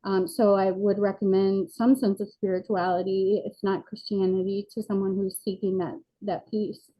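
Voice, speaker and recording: A woman's voice: mid-range voice, little pitch movement, moderate pace, mellow. A woman in her forties speaking as an interview guest, earnest and reflective, with frequent fillers, over a video call. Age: 40s. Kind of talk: candid personal interview. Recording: dull lo-fi sound.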